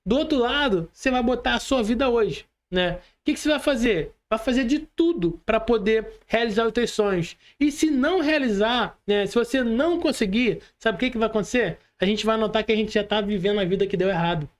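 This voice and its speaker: A man's voice: high-pitched, animated, fairly quick, hardly any pauses. Brazilian male, motivational podcast speaker. Earnest, exhorting, informal, medium delivery. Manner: earnest, exhorting, colloquial with frequent check-in tags.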